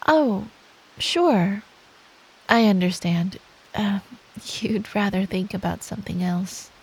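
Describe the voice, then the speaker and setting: A woman acting as a sweet, affectionate girlfriend in a bedtime ASMR roleplay. Her voice is soft and breathy with plenty of creak, and she takes her time, teasing gently and lovingly. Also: mid-range voice, expressive, exaggerated voice, low-quality recording. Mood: tender, caring. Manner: slow, affectionate, playfully teasing. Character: sweet, teasing, caring girlfriend telling an improvised bedtime story.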